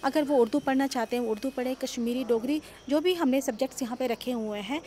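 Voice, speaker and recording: A middle-aged woman from the education department answering questions at a press scrum, measured and pausing with "uh". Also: high voice, mellow. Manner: measured, with frequent "uh" pauses. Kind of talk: news interview. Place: indoor hallway of an auditorium. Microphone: bunched press microphones.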